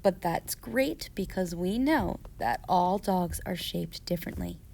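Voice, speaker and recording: A woman's voice: on the low side, animated, measured pace, gravelly, a little mellow. A woman giving scripted, step-by-step craft instructions for children in a patient, playful way.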